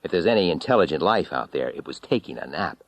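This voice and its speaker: A man's voice: rumbling low, big pitch swings, fast, no echo, pitched below the performer's own voice, exaggerated voice. A man voicing a bitter, haunted former astronaut in an audiobook story, wry, weary and intense. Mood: matter-of-fact, wry. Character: bitter, haunted male former astronaut recounting his ordeal.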